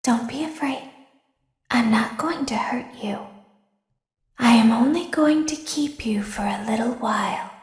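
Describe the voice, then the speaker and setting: Woman plays a cruel animatronic girl, whispered threats, unhurried and high-set, echoing space. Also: lightly acted. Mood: menacing whisper. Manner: soft menacing whisper. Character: sinister girlish female animatronic, calm and cruel. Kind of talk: fan voice acting of game lines.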